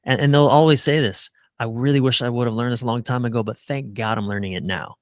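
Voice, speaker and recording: A man's voice: mid-range voice, fairly even intonation, quick pace, no echo, clean studio sound. A middle-aged man giving earnest, casual answers as a relationship coach in a conversational interview. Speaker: relationship coach, podcast guest.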